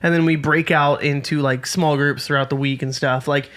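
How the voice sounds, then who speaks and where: A man's voice: a little high, fairly even intonation, moderate pace, a bit bright. Dry-humored man in his twenties. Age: 20s. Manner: dry, self-deprecating humor. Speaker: podcast co-host. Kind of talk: casual chat.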